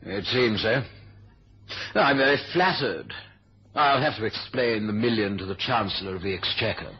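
A man playing a genial older British politician in a 1970s radio drama, urbane and polite with gentle hesitations and a husky voice. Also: on the low side, expressive, normal speed, heavily acted. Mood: urbane, amused. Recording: muffled, lo-fi old radio recording.

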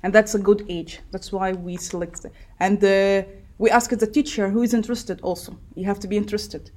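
A woman's voice: medium pitch, fast, a little mellow. A woman podcast host interviewing children in a casual, encouraging way with simple, hesitant phrasing.

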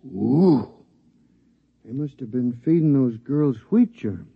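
A man's voice: mid-range voice, animated, takes it slowly, creaky, breathy edge, dry recording, exaggerated voice. An actor voicing a cocky, pleasure-loving male mouse with brash, slangy talk in a 1950s radio drama. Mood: hungover, groaning. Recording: dull 1950s radio recording.

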